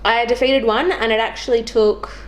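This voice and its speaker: A woman's voice: fairly high pitch, fairly even intonation, moderate pace. A young woman chatting casually about video games as a guest on a show. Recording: clearly noisy background.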